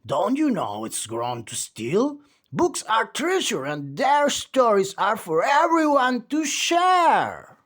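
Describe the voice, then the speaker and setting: A brave little girl from a picture book, voiced by a man reading aloud. The delivery is indignant and scolding, climbing high in pitch with lively swings. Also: takes it slowly, full character voice. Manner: bold, scolding.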